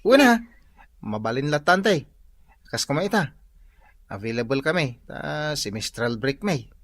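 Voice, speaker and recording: A man's voice: medium pitch, very animated, a little slow, dry recording. Eager, cheeky Ilocano student boy. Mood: excited. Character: young male student, hungry, cheeky, eager tagalong. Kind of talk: Ilocano radio comedy drama acting.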